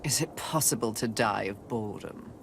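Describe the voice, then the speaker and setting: A woman's voice: very deep voice, moderate pace, noisy background. An actress playing an arrogant, impatient and battle-hungry horsewoman of the apocalypse in a video game, with sardonic delivery. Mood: bored. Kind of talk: video game cutscene acting.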